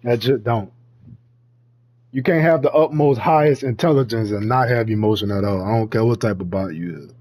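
A man's voice: medium pitch, moderate pace, darkish tone. A man in casual chat, talking in heavy slang with lots of swearing and frequent laughter. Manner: slangy, profane, laughs often.

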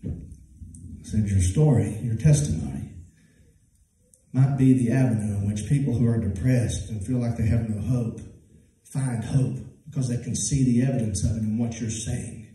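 A man in his thirties with a Southern US accent, preaching a sermon at a church pulpit. He swings from loud, high-pitched preaching to low, soft passages. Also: normal speed. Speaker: pastor. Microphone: handheld mic, filmed from far off. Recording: echoey church from far off.

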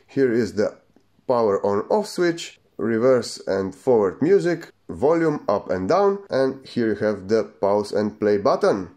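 A man's voice: medium pitch, darkish tone. A man casually demonstrating and reviewing a product in simple, non-native English phrasing. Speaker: gadget reviewer.

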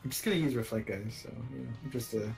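A man's voice: mid-range voice, quick pace, creaky, a little mellow. A young man trading casual banter with friends while playing a game. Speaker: online gaming video player. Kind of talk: casual group gameplay banter. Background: video game music.

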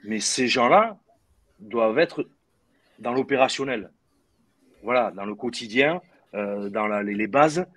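A man's voice: medium pitch, animated, unhurried, pauses often, fairly bright tone, dry recording. A middle-aged man from southwest France talking on a video call, hesitant, with many fillers and repeated words. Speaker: guest, former footballer. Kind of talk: casual video-call discussion. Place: room at home. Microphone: video call webcam.

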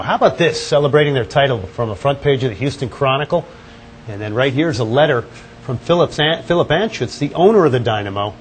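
A man's voice: mid-range voice, a little hiss or hum. A man, a sports TV host giving a chatty walking tour of a locker room on a lapel mic. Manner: upbeat, chatty, pointing things out as he walks. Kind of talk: walk-through tour presenting. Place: indoor locker room and hallways.

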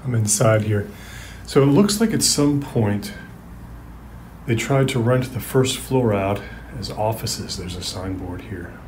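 A man playing an uneasy, reflective narrator in an audio drama, who talks in a halting, rambling, self-correcting way as if recording his thoughts on the move. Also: lowish voice, a little mellow, a little hiss or hum, lightly acted. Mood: subdued, uneasy. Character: uneasy, reflective man revisiting a creepy old apartment building. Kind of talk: naturalistic monologue, recording thoughts while on the move.

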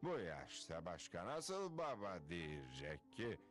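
A man's voice: deep voice, huge pitch swings, takes it slowly, faint music behind, heavily acted. A man voicing a proud, bossy cartoon caveman father in a dub, talking in a blustering, boastful way.

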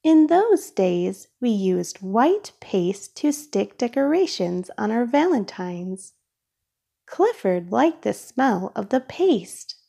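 A woman reading a children's storybook aloud in a careful, expressive way for young listeners. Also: fairly high pitch, slow pace, gravelly, husky. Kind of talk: read-aloud storybook narration.

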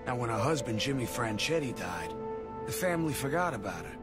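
An actor playing a haunted young hitman, giving a hushed, deliberate video game monologue. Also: mid-range voice, sing-song, over loud music, natural voice. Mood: grim reflection. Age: young adult. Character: brooding young male hitman, haunted and resolute.

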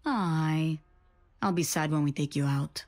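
A woman's voice: low-pitched, a little slow, a little mellow. An actress playing a sly woman rogue with a wry, teasing manner.

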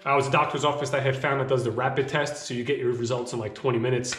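A man in his thirties talking casually to camera from a small home office, rambling a bit with fillers, his voice slightly hoarse from a cough. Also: medium pitch, steady tone, racing, a bit bright, a little hiss or hum. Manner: conversational, rambling with fillers and restarts. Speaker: vlogger. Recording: echoey room.

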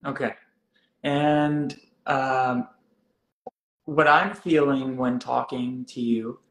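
A man in his thirties hosting a live wellness stream, calm and gently guiding, with slow deliberate phrasing. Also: mid-range voice, reverberant, poor audio. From South Africa, raised in Canada. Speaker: wellness host. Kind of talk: live-stream coaching chat. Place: room indoors.

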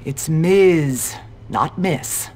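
A woman's voice: low-pitched, drawn-out words, darkish tone, a little hiss or hum, exaggerated voice. Casting: thirties schoolteacher in a video game, lovelorn and bitter, taking her time. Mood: coy. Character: lovelorn, bitter yet coy young female teacher.

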